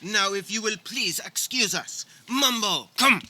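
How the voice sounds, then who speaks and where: Casting: a man as over-the-top Frenchman devoted to his pet, high and very bright, comic radio theater. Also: animated, measured pace, full character voice. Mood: formal, contrite. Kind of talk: comedic radio theater acting.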